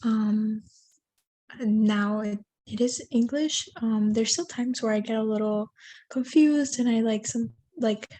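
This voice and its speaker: A woman in her twenties from Miami, whose first language is Spanish, playing a client in a practice counseling session and speaking haltingly with many fillers. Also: mid-range voice, a little mellow. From Miami, USA. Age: 20s. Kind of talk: mock counseling intake conversation.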